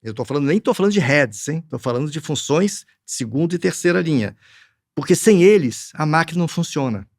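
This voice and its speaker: A man's voice: on the high side, expressive, fairly quick, a little mellow, no room sound, studio quality. A man with a Brazilian Portuguese accent, a film producer answering interview questions earnestly, with frequent restarts and fillers. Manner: hesitant, restarts a lot, earnest.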